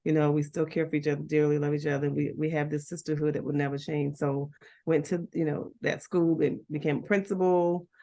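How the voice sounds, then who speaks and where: A woman's voice: low voice, level tone, fairly quick, dark tone. A middle-aged woman from Liberia, a guest chatting casually and telling her life story with laughs and restarts. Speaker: guest, school founder. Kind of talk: casual chat.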